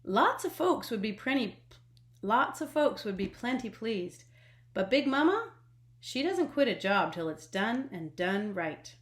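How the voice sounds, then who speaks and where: A woman reading a children's storybook aloud expressively, with dramatic emphasis and hushed phrase endings. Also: on the high side, big pitch swings, measured pace. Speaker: storybook reader. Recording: echoey room.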